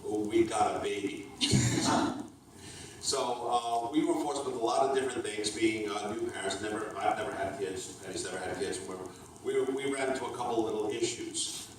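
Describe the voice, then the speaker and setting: A man in his fifties from Portugal talks haltingly to a room full of people, with plenty of ums and restarts. His voice sits fairly low, and it is picked up from a distance in a very echoey hall with some room noise. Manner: halting, many fillers and restarts. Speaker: startup co-founder presenting. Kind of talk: hesitant public talk. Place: community event hall.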